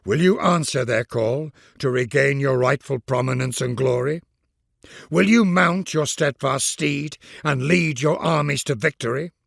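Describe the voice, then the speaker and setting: A man's voice: medium pitch, creaky, husky, no room sound, studio quality. A middle-aged man, narrating a strategy game in a grand, solemn, stately and dramatic manner.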